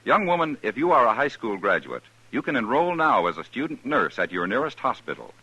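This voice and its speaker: An American man as a vintage radio announcer, formal and emphatic, with a husky edge. His delivery is lively and forceful, on a dull, lo-fi old broadcast recording. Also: medium pitch, a little fast, no echo. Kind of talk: 1950s radio announcing, scripted public service appeal.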